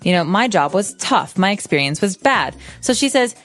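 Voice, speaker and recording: A woman's voice: medium pitch, sing-song, normal speed, a bit bright, faint music behind. A woman co-hosting an English-learning podcast, patiently explaining phrases with example sentences. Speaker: podcast co-host teaching English. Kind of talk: casual teaching chat.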